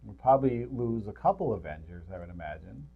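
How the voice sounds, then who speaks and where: A middle-aged man co-hosting a movie review show, chatting casually about films in halting, rambling explanations. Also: lowish voice, lively intonation, creaky, phone-quality sound, slight background noise.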